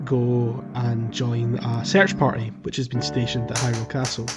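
A man co-hosting a podcast, chatting casually about a video game in a hesitant, halting way. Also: medium pitch, level tone, over loud music. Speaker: podcast co-host.

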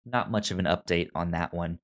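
A man co-hosting a podcast, chatting casually about films in a halting way, often repeating words and restarting. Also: low voice. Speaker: podcast co-host. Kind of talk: casual film review chat.

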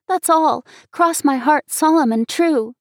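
A woman voicing an earnest, excitable little girl with an eager, emphatic manner in a storybook reading. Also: a little high, fairly quick, no room sound, studio quality, natural voice. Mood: urgent.

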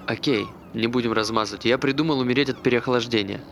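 A man's voice: mid-range voice, fast, lightly acted. An actor playing an anxious, self-deprecating man, talking hesitantly and earnestly in naturalistic stage dialogue. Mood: nervous, confessional. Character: anxious, self-deprecating man recounting his failed suicide. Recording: faint background noise.

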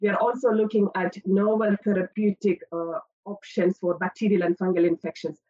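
A woman who heads a research facility introduces herself on a panel in a steady way, with many "uh" fillers. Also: mid-range voice, brisk, husky, lo-fi sound. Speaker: head of a drug discovery facility.

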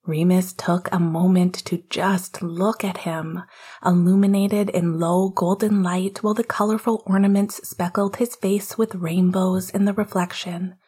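A woman reading fiction aloud as a scripted narration, with a husky, breathy voice and a soft, gentle storytelling manner. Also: lowish voice, level tone, measured pace. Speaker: podfic reader.

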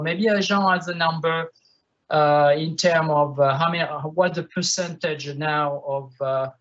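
Hesitant winemaker, slightly high voice. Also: male voice, a bit bright, lo-fi sound. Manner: hesitant, many fillers. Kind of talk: panel discussion.

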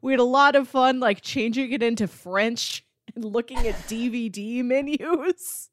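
A woman co-hosting a podcast, chatting casually and telling stories in an animated way. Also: a little high, a little slow. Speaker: podcast co-host.

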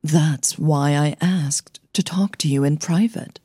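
A woman voicing a composed, caring singing coach in an audio drama, reassuring and gently teasing as she probes. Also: low voice, slow pace, breathy edge, dry recording, clean studio sound, exaggerated voice. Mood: caring, concerned.

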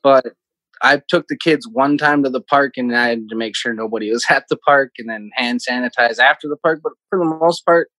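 A man's voice: mid-range voice, a little fast, sharp tone, dry recording, low-quality recording. Man in his thirties, dry close sound. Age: 30s. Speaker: interview guest, casino team supervisor. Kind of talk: casual conversational interview answers.

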